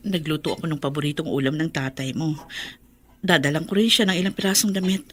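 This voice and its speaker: A woman's voice: low voice, fast talker, slight background noise, lightly acted. An actress playing a devoted wife and mother who fusses over her family in a radio drama. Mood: caring. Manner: caring, fretful.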